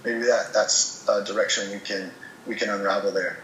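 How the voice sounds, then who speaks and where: A man's voice: fairly low pitch, fairly even intonation, moderate pace, echoey room, crowd noise, low-quality recording. A man speaking as a podcast guest in casual chat, earnest and motivational, often restarting words as he goes.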